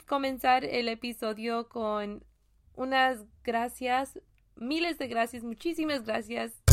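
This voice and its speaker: A woman from Mexico hosting a casual solo faith podcast, talking in a halting way with many fillers and restarts. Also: a little high. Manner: chatty, laughs at own jokes. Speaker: podcast host.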